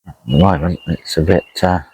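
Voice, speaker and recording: Bloke doing a fishing vlog outside, really low voice, rambly and chatty, dry sound no echo. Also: male voice, fairly even intonation, moderate pace, darkish tone, husky. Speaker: angler vlogging a fishing trip. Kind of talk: casual outdoor vlog commentary. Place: outdoors at a lakeside.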